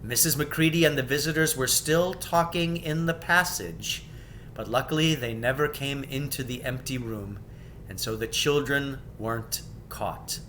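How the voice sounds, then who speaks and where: A man reading a children's story aloud as expressive, deliberate, theatrical narration. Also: low-quality recording. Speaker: storybook reader. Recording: background noise with low rumble.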